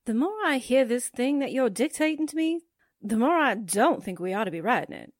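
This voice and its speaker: A woman's voice: a little high, expressive, brisk, dry recording, studio quality. A woman playing a skeptical, sarcastic newspaperwoman sidekick in a 1940s-style radio drama. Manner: sarcastic, pointed. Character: sassy, skeptical newspaperwoman sidekick with dry wisecracks.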